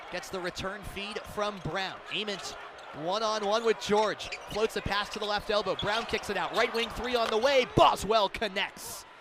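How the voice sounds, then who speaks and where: High-pitched man calling live basketball. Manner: excitable, building to loud calls on big plays. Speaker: play-by-play radio announcer. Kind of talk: live basketball radio commentary. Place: courtside in a large indoor arena. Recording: crowd noise, bouncing ball in arena. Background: arena ambience, basketball bounces.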